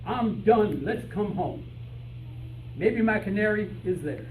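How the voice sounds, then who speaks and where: A man playing an excitable, argumentative, stubborn detective in an old-time radio style stage play. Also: sits high, slow. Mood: indignant. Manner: indignant, argumentative. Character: excitable stubborn male detective searching for his lost canary. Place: live rehearsal before an audience. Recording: echoey room, distant mic, faint noise.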